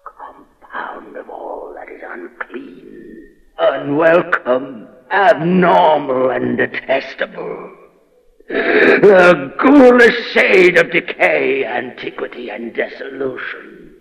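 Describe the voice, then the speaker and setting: An actor playing a tormented, horrified outcast in a Gothic radio monologue, halting and theatrical, repeating himself in anguish. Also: male voice, fairly high pitch, lively intonation, creaky, fairly bright tone, muffled recording, full character voice. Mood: haunted, hushed. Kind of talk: dramatic radio horror monologue. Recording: radio recording with long hall reverb.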